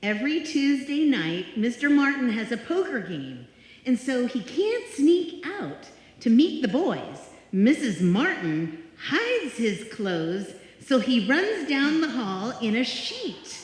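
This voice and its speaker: A woman playing a wry, exasperated young woman in a live old-time radio comedy, with deliberate, dry delivery. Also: fairly high pitch, big pitch swings. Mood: amused. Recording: echoey hall, live stage performance.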